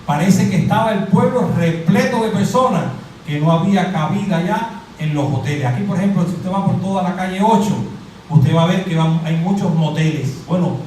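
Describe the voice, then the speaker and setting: A middle-aged Cuban man preaching a lively sermon, quizzing his congregation and joking as he goes. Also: high-pitched, moderate pace, slight background noise. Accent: Cuban Spanish. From Cuba. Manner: animated, asks the crowd questions and jokes. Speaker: pastor. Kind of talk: interactive sermon, calling on the congregation. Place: church hall. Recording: very echoey hall, mic at a distance.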